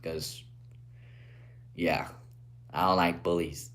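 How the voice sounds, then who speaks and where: A man's voice: sits low, fairly even intonation, takes it slowly. Laid-back young man chatting on a livestream. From Guatemala. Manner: laid-back, says bro and dude a lot, often trails off quietly. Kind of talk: casual live-stream chat reading comments. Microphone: phone propped on a ledge.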